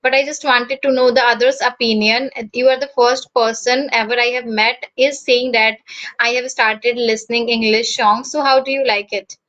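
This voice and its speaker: Woman, interviewer, slightly high pitch, halting inquisitive phrasing, dull lo-fi audio. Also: steady tone, a bit bright. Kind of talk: casual conversation on a call.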